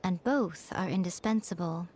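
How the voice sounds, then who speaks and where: A woman's voice: on the low side, normal speed, darkish tone, slight background noise, lightly acted. A woman voicing a poised, sly confidante in a video game, with a playful, teasing manner. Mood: caring, poised. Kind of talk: video game character acting.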